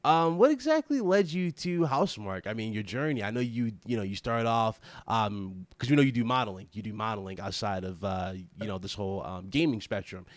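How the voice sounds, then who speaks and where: A man's voice: lowish voice, expressive, brisk, hardly any pauses, no room sound. A man hosting a live podcast interview, hyped and eager, often tripping over and repeating his words. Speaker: podcast host.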